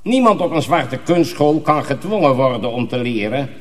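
A man playing a stern, menacing master sorcerer in a radio drama, commanding, deliberate and solemn. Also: mid-range voice, animated, lightly acted. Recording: long hall reverb.

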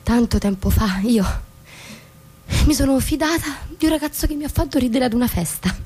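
An actress playing a disillusioned, coldly defiant wife in a theatrical radio drama, speaking deliberately with pointed pauses. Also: female voice, sits high, expressive, mellow, husky. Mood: bitter. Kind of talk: theatrical stage-play acting for radio.

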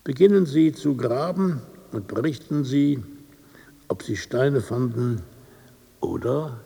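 Creaky, mellow grand old-world poet. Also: male voice, medium pitch, animated, heavily acted. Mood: courteous, admonishing. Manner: measured, formal, sententious. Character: eminent male poet, courteous, lofty, gently admonishing. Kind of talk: radio drama letter reading.

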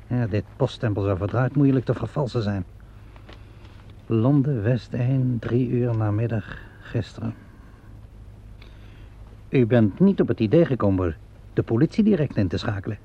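Male police inspector, acted by a man, vintage radio drama: gravelly, measured, courteous, wry. Muffled lo-fi sound. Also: mid-range voice, mellow, a little hiss or hum, close to an everyday voice. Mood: probing. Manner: measured, polite questioning with hesitant interjections. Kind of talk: vintage Dutch radio play acting. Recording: dull lo-fi vintage radio recording.

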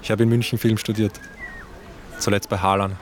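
An actor playing a wry Viennese camera assistant. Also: male voice, fairly low pitch, little pitch movement, a little fast, lightly acted. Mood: sardonic. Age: young adult. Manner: dry, ironic remarks. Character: young male camera assistant, chubby, chatty, sardonic. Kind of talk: radio drama acting.

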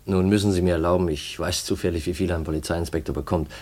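An earnest young gentleman in a fifties German radio drama. His voice sits fairly low, and he speaks with a brooding, searching intensity and thoughtful pauses. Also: steady tone. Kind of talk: 1950s German radio play acting.

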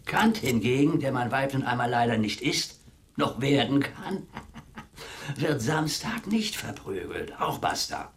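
A man's voice: medium pitch, sing-song, unhurried, a little hiss or hum. An actor playing a cantankerous, coarse man in a German radio play, with a blunt, scornful, theatrical delivery. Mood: blustering, scornful. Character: cantankerous, coarse man railing and sneering in monologue.

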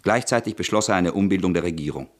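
A man narrating a historical radio play in an even, documentary tone. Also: low-pitched, fast talker.

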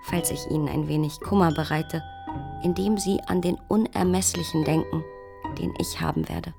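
An actress playing a sorrowful but resolute young woman leaving her lover, reading out her letter in a measured, formal way in a radio drama. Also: deep voice, steady tone. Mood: sad resolve. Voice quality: creaky. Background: instrumental music.

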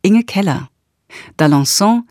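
A woman's voice: medium pitch, lively intonation, a little slow, darkish tone, dry recording, clean studio sound. A woman reading scripted credits as a radio announcer.

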